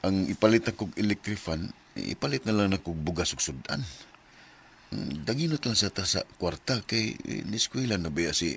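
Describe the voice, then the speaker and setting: Radio drama: humble Cebuano working dad, low voice with lively swings, gentle and apologetic, some background noise. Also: male voice, normal speed. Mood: soothing, weary. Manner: soothing, apologetic. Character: gentle, patient, poor young working father. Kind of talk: Filipino radio drama acting.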